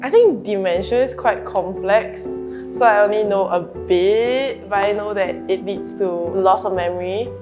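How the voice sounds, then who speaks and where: A woman's voice: mid-range voice, a little slow. A young woman, a nursing student answering in an earnest, reflective way. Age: young adult. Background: guitar music.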